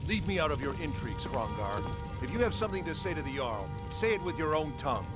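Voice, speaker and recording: An actor playing a cautious, officious lord's steward in a fantasy game, formal and fussy in manner. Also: male voice, fairly high pitch, big pitch swings, quick pace. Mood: guarded, disapproving. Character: officious, cautious, prim male steward to a lord. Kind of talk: fantasy video game acting. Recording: music behind the voice.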